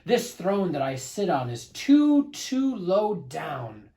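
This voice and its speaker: Storybook turtle king, played by a male reader: pompous, bellowing tyrant, boastful and unhurried in delivery. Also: high voice, very animated, warm tone, voice pushed up, full character voice. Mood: grumbling, ambitious.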